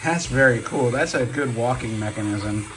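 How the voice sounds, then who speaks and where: A man with a hoarse voice, deepened by a cold, hosts a relaxed show-and-tell video. He rambles along in an easygoing way, full of fillers and side remarks. A faint hum of noise sits under the recording. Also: normal speed, rough recording. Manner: chatty and rambling, many fillers and asides. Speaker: toy robot collector and channel host. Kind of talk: casual show-and-tell vlog.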